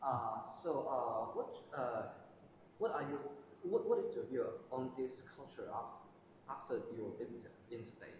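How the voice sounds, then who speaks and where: Halting male interviewer in a very echoey space. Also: mid-range voice, animated, a little mellow. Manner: halting, repeats words, non-fluent English. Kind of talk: prepared interview questions. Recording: very echoey space, faint noise.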